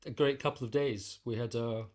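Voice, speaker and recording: Male guest for an arts interview: medium pitch, casual explanatory talk, works out phrases as he speaks. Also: steady tone, brisk, a little mellow. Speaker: interview guest, composer and conductor.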